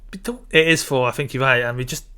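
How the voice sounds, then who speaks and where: A man speaks in a bright, forward voice at a middling pitch. He is a podcast co-host in casual film discussion. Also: fairly even intonation, fast, smooth.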